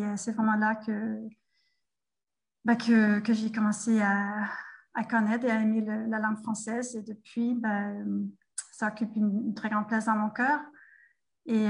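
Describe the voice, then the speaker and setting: Woman, flat, hesitant speech. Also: fairly high pitch, low-quality recording. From Denmark. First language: Danish. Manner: hesitant, many fillers and restarts. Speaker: guest. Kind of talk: casual interview over a remote link.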